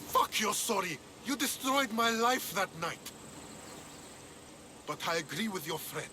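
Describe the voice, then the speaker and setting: Bitter defiant male mercenary, high-pitched, wildly varying intonation, video game dialogue, noisy insect ambience. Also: sing-song, measured pace, sharp tone, exaggerated voice. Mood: scornful.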